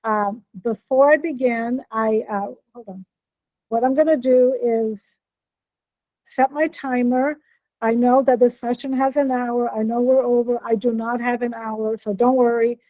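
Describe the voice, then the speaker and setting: A woman's voice: a little high, fairly even intonation, a little slow, no room sound, narrow, tinny audio. Chatty American woman giving a keynote. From born in Norway, raised in Brazil. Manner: friendly, chatty, checks on listeners. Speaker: keynote speaker, professor. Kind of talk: academic keynote talk. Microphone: computer mic on video call.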